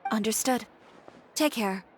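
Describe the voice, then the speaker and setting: Actrice als trotse, koelbloedige modeontwerpster. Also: female voice, a little high, measured pace, slight background noise, natural voice. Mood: defiant. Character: proud, cool-headed, determined woman fashion designer. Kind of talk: scripted video game voice acting.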